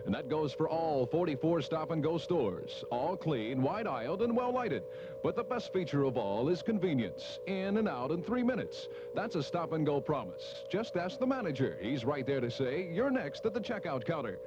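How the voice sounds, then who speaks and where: A man reading a 1970s radio commercial as a conversational announcer, pitching with rhetorical questions. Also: very animated, normal speed, muffled recording. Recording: vintage radio ad over loud music. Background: loud music.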